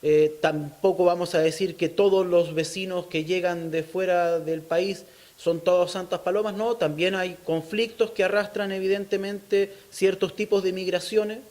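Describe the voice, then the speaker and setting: High-pitched Chilean man explaining his views. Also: steady tone, normal speed, a little mellow. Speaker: interviewee. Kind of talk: thoughtful interview talk on local culture.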